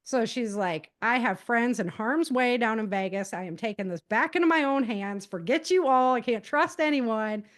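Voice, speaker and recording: A woman with an American accent speaking casually as a guest author, telling the story of her own novels in an animated, conversational way. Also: a little high, normal speed, few pauses.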